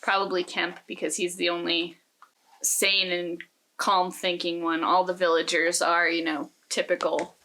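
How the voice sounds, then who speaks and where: A woman's voice: lowish voice, flat delivery, unhurried, sharp tone, rough recording. A woman co-hosting a casual, conversational discussion about books. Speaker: podcast co-host.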